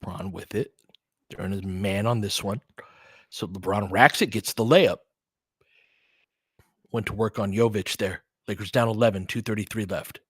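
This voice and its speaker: American man, lowish voice, casual basketball play-by-play, analytical, clipped phrasing, dry close sound. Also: fairly even intonation, normal speed, pauses often, a bit bright, slightly rough, no echo. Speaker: host and play-by-play commentator for a fan stream.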